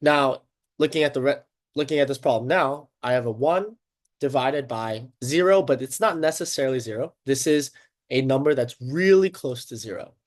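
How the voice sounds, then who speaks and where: A man's voice: medium pitch, normal speed. Congested man teaching a casual math lesson. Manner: upbeat, chatty, checks in often with "okay" and "right?". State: congested, sniffling.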